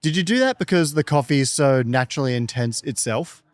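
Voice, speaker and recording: A man's voice: medium pitch, lively intonation, fairly quick, no room sound. A man hosting a show, interviewing a guest in a conversational style.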